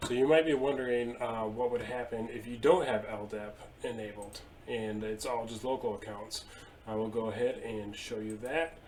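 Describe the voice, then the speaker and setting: A man in his thirties at his desk, casually explaining software settings step by step with a few fillers. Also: medium pitch, slight background noise, low-quality recording. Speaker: IT tutorial presenter. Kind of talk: screen-share software walkthrough. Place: indoor room at a desk.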